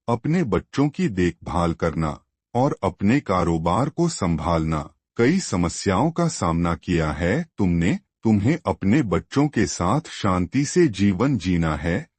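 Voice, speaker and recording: A man's voice: low voice, big pitch swings, slow pace, a little mellow, breathy edge, no echo, exaggerated voice. A man playing a blunt, reassuring old family friend in a cartoon moral story, advising with emphasis. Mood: sympathetic.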